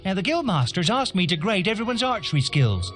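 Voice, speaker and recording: Fantasy game youth: blunt, cheeky apprentice, high-pitched and animated. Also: male voice, a little fast, over loud music. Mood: blunt, dismissive. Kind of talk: scripted fantasy game dialogue.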